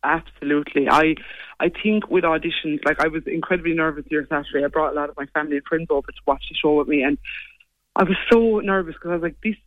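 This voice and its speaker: Fast-talking Irish woman, low voice. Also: dry recording, phone-quality sound. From Ireland. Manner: quick, chatty, lots of "like" and "you know". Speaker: singer guest. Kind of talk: casual interview chat.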